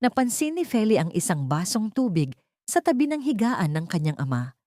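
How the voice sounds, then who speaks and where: A woman's voice: lowish voice, darkish tone, no echo, clean studio sound. A woman narrating a scripted dramatic story in a solemn, emotive manner.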